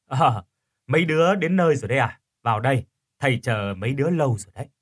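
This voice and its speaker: A man voicing a kindly, dignified sixty-year-old village healer in an audio story, with an unhurried, fatherly manner. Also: mid-range voice, expressive, slightly rough. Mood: welcoming.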